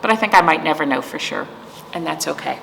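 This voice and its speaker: A woman hosting a storytime for children, reading aloud and chatting in a gentle, playful manner to young listeners. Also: sits low, expressive, quick pace. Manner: gentle, playful, addressing young viewers as friends. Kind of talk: read-aloud and chat for children. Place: indoors, room with aquamarine wall. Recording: echoey room with clear background noise.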